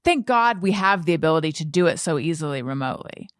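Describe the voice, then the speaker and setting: American woman podcaster, low-pitched and thoughtful. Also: animated, fairly bright tone, no room sound, clean studio sound. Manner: halting, self-correcting, thinking aloud. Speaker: podcast host and interviewer. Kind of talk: casual conversational interview chat.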